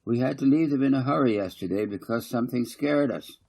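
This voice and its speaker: A prim, assertive little girl from a storybook, acted out by a man, bossy and matter-of-fact. The voice is lifted well above his normal pitch, with a husky edge. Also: fairly quick, warm tone. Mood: earnest.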